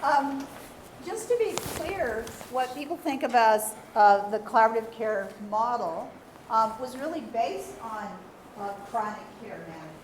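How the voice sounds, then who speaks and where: A woman's voice: on the high side, lively intonation, moderate pace. Emphatic woman in an echoey room. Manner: emphatic, loud voice. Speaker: expert panelist. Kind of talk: adding remarks at a training session. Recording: echoey room, mic far off, noisy.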